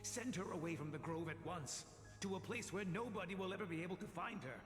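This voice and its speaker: A man's voice: high-pitched, animated, fairly quick. An actor playing a stern but caring older male teacher in a video game, earnest and admonishing. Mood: alarmed, urgent. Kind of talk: theatrical video game voice acting. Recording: echoey long reverb, music behind.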